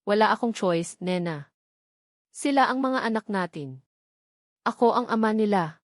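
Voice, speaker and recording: A woman's voice: medium pitch, expressive, a little slow, no echo, studio quality, close to an everyday voice. A woman with a Filipino accent voicing a resolute, protective father in a dramatic story. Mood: urgent, resolute. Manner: emphatic, resolute. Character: determined, protective father fighting for his children.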